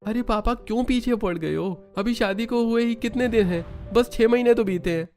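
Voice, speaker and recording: A man's voice: extremely high pitch, moderate pace, darkish tone. A man voicing a young village son in a cartoon, protesting with exasperation. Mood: defensive. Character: exasperated young village son, reluctant about family pressure. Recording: music bed under the voice.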